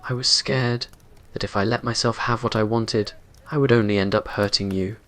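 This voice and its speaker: A man voicing a brooding, tormented vampire in a romance audio story, muttering intensely with a rough, ragged edge. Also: lowish voice, steady tone, moderate pace, a bit bright, close to an everyday voice. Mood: tormented warning. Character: brooding, tormented centuries-old male vampire lover.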